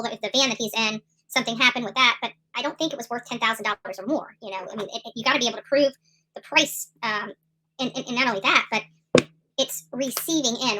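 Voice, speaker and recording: A woman's voice: a little high, bright, forceful tone, a little hiss or hum, low-quality recording. A middle-aged woman hosting a casual live commentary stream, talking emphatically and rambling with many fillers and restarts. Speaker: YouTube commentary host.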